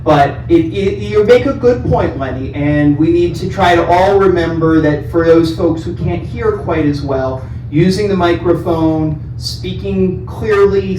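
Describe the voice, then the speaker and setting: A man with a loud voice hosting a community town hall, friendly and joking with residents by name. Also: high voice, slight background noise. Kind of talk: casual town hall talk. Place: large meeting room indoors. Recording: echoey room, distant mic.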